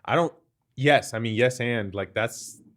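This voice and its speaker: A man from Queens, New York, hosting a podcast in casual conversation, chiming in and asking follow-up questions. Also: medium pitch. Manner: engaged, quick interjections and follow-up questions. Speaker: podcast host.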